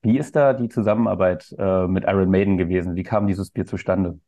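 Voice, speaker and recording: A man's voice: low voice, steady tone, fairly quick, mellow. A young man asking questions in a casual interview.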